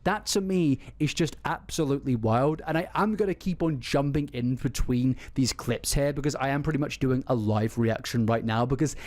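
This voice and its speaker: A man's voice: mid-range voice, moderate pace, few pauses, a little mellow, no echo. A man streaming a live reaction to video clips, criticizing them emphatically. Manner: critical, emphatic, repeats "wild".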